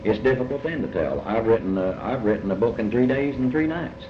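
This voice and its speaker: A man with a Kentucky accent giving reflective, folksy interview answers as a novelist, halting and deliberate, often repeating his phrases. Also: mid-range voice, animated. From Kentucky, United States. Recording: muffled, noisy, echoey old tape.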